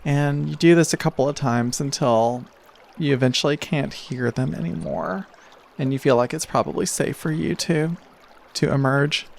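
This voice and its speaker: A man narrating a tabletop game as game master, improvising in a measured, halting way. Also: on the high side, gravelly. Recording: steady noise behind the voice.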